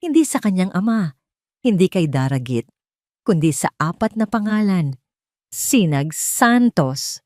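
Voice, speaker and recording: A woman's voice: mid-range voice, a little mellow, no echo, clean studio sound. A woman with a Filipino accent reading a scripted dramatic story as a storyteller.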